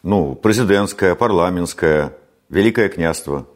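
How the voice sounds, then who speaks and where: A man's voice: low-pitched, a little slow. A man from Belarus interviewing a guest with short, teasing questions. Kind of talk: casual interview.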